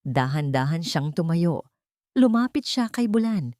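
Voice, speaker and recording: Filipino woman narrating a dramatic love story, lowish voice, really dry sound. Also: big pitch swings, a little slow, warm tone, no room sound.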